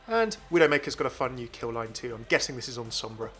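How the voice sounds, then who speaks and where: Fast, bright, enthusiastic male gaming host. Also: mid-range voice, lively intonation, soft background music. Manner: enthusiastic, chatty. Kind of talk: informal video game commentary.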